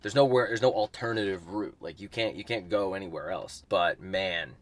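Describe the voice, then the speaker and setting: A man's voice: sits low, normal speed. A man vlogging casually from inside a moving vehicle, rambling loosely and swearing offhandedly. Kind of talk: casual on-the-road chat to camera. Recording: loud road and engine noise. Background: car noise.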